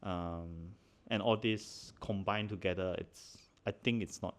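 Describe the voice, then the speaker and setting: A man in his thirties with a Malaysian accent, co-hosting a casual chat podcast from a living-room sofa on a handheld mic, relaxed and quick to laugh. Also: low voice. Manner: relaxed, chatty, laughs often, many fillers. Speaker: podcast co-host.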